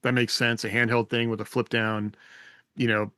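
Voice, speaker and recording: A man's voice: mid-range voice, little pitch movement, moderate pace, pushed and bright, clean tone, no room sound, clean studio sound. A man co-hosting a podcast in a casual panel chat. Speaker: podcast co-host.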